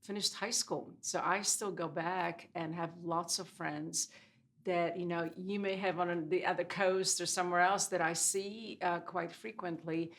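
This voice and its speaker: A woman's voice: fairly low pitch, fairly even intonation, a little slow, fairly bright tone. A woman in her sixties from Poland, Polish her first language, a guest in an interview telling her story reflectively with many fillers. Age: 60s. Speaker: guest dermatologist. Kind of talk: casual interview chat.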